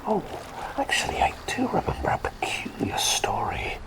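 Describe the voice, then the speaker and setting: A man voicing a quirky male talking bird plague doctor, telling rambling bedtime stories in a soft ASMR whisper. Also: wildly swooping pitch, moderate pace, full character voice. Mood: gentle whisper. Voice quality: deep creaky whisper. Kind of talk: ASMR roleplay bedtime storytelling.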